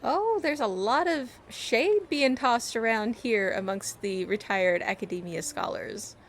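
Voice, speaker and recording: A woman's voice: on the high side, a little slow. A woman giving casual, playful, reactive commentary as she streams a game. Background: soft game music.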